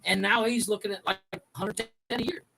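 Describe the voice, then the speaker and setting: A man co-hosting a podcast in a relaxed, slangy chat style. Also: high voice, fairly quick, creaky, slight background noise, rough recording. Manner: laid-back, uses slang. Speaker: podcast co-host.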